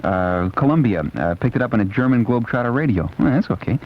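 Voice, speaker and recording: A man's voice: mid-range voice, expressive, fast. An American man hosting a late-night 1970s music radio show, chatting in a casual, playful, rambling way. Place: radio studio. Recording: dull, noisy 1970s AM radio aircheck.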